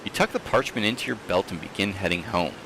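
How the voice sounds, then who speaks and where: A man reading a story aloud in a theatrical, expressive manner, with casual asides, like a streamer hosting for an audience. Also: low-pitched, fast talker, vocal fry, noisy background.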